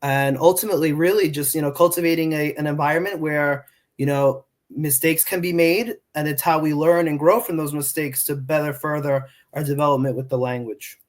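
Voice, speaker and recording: A man's voice: on the high side, steady tone, fairly quick. A man in his twenties who grew up in New York with Portuguese as his first language, a middle school Spanish teacher answering interview questions earnestly with many fillers and restarts. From New York, United States.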